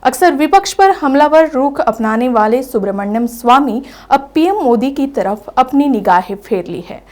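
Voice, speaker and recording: A woman reads the news as a bulletin anchor. Her voice sits slightly higher than average for a woman, and she delivers scripted reports. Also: expressive, moderate pace, a little mellow.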